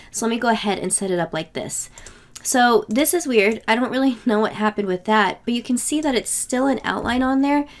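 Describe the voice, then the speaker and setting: A woman in her thirties, a craft tutorial host getting over a cold, explaining software steps in a casual, step-by-step way at her desk. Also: on the high side. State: voice worn from a cold. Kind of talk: screen-recorded software tutorial.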